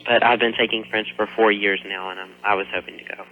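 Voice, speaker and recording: A man's voice: lowish voice, fairly even intonation, fast talker. A fourteen-year-old American boy calling a radio show, chatting casually, polite but a little defensive. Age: 14, teenager. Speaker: caller, high school student. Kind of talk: casual phone-in conversation. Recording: dull lo-fi radio phone-in.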